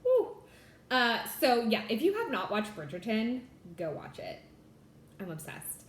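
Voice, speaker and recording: A woman's voice: a little high, huge pitch swings, unhurried, echoey room. A young adult woman from California, chatting casually to camera as the host of a stitching vlog, bubbly and full of fillers like "like" and "y'all". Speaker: Flosstube host.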